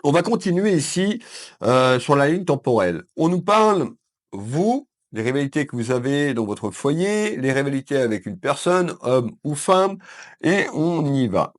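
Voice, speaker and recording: A man from France with a head cold giving a calm weekend card reading to viewers from his consulting office. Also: on the high side, unhurried. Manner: calm, addresses viewers as "mes belles âmes", with frequent fillers. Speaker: psychic card reader. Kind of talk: weekend tarot reading to camera.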